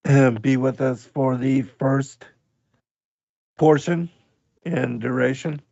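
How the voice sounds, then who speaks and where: A man hosts a radio livestream with a hoarse voice that keeps giving out, and a deep fry runs through everything he says. He talks very slowly, pausing often and filling the gaps with ums. The sound is dry and close but dull, as if streamed at low quality. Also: darkish tone, dry recording. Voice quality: gravelly. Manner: slow, halting, with many pauses and fillers. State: hoarse, voice going out. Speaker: radio show host. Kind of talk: livestream radio talk, reading chat.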